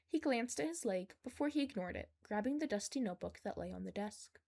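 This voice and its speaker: A woman's voice: medium pitch, creaky, warm tone. A woman reading fan fiction aloud as a scripted story narration.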